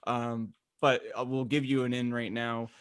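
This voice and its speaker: A man co-hosting a podcast in casual comedic chat, making his points in a halting, mock-earnest way. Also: medium pitch, little pitch movement, smooth, no room sound. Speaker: podcast co-host.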